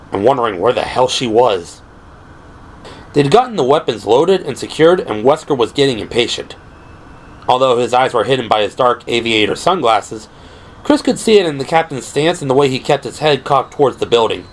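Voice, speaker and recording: A man's voice: mid-range voice, expressive, a little fast, slight background noise, rough recording. A man narrating an audio drama from a script in a dramatic, storytelling style. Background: music.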